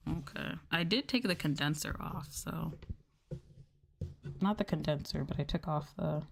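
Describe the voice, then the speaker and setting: A soft-spoken woman chatting casually and playfully with viewers as the host of an ASMR livestream. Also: low-pitched, gravelly, slight background noise. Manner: soft-spoken, playful, drifting into close-mic whispers. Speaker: VTuber streamer and host.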